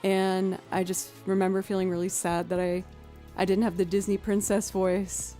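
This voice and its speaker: A young woman chats loosely on her livestream, musing out loud with plenty of ums and likes. Her naturally low voice has a soft, warm tone. Faint music sits underneath. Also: level tone, moderate pace. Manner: chatty, thinking aloud, with fillers. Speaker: streamer and musician. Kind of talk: casual livestream chat.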